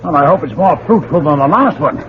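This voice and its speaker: Actor as an impatient archaeologist, highly animated. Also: male voice, brisk, natural voice. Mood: irritated. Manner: brusque, demanding. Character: impatient, stubborn male archaeologist leading a dig. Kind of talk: 1970s radio drama acting. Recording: muffled, hissy 1970s radio broadcast.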